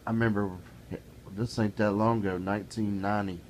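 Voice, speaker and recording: A middle-aged man with a deep, low voice talks straight to the camera in a folksy, plainspoken way. He grows heated and exasperated as he goes. A faint hiss sits under the recording. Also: little pitch movement, brisk, darkish tone. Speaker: vlogger. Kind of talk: casual ranting monologue.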